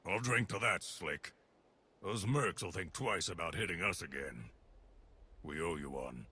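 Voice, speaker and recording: An actor playing a gruff, wry ex-foreman turned militia fighter in a video game, blunt and gravelly. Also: low voice, a little slow, pushed and bright, heavily acted. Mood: gruff, resolute. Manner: blunt, sardonic, plain-spoken. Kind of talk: video game cutscene acting.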